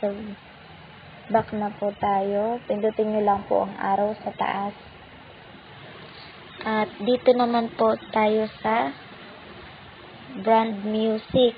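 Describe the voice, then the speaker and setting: A woman's voice: medium pitch, flat delivery, takes it slowly, pauses often, highs cut off, a little hiss or hum. A woman hosting an app tutorial, politely and patiently walking viewers through each step. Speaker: tutorial channel host.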